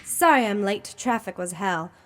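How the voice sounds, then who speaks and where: A young woman playing a cool, self-assured private detective with a brisk, wry manner in a radio drama. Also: medium pitch, lively intonation, slight background noise, close to an everyday voice. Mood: wry, businesslike. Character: tough, cool, sardonic female private detective.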